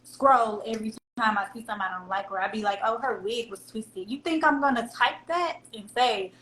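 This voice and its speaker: A woman's voice: fairly high pitch, fairly quick, a crowd in the background. A woman with a Southern American accent, chatting candidly as an interview guest and laughing often. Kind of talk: casual interview chat. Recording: echoey room with faint hum.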